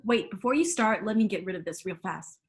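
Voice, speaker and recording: Grandmother, seventies, brash, vain and bossy, excitable delivery; played by a woman at a table read. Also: medium pitch, a little fast, natural voice.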